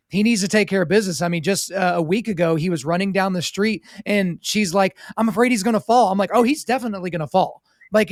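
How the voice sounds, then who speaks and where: A man's voice: high-pitched, dry recording, studio quality. A man in his thirties hosting a podcast interview, rambling through his thoughts in a casual, chatty way. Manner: rapid, rambling, talks through thoughts aloud. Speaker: podcast host.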